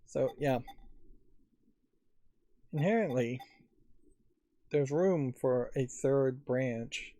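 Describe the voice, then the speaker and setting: A man giving musing game commentary, thinking aloud in a halting way. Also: mid-range voice, mellow, dry recording. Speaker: let's play host. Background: faint game music.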